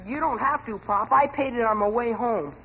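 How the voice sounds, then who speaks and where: A man's voice: very high-pitched, normal speed, breathy edge, heavily acted. A young man playing an eager, cocky teenage son in a 1940s radio sitcom. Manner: eager, earnest, boyish. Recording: dull, hissy old radio recording.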